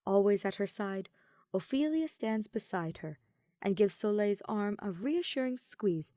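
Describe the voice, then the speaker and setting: A woman reading scripted fiction as a narrator, with expressive, measured storytelling. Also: medium pitch, dry recording, studio quality. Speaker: podfic narrator.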